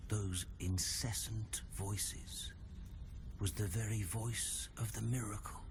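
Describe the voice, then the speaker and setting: A sorrowful old undead confessor from a dark fantasy game. His very low voice moves slowly and gravely through archaic phrases. Clear ambient noise sits behind him. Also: steady tone. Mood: mournful. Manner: grave, archaic, drawn-out and deliberate.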